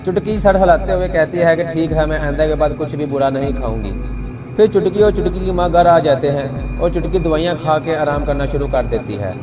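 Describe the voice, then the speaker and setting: A man narrating a scripted story as a storyteller. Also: on the high side. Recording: music behind the voice.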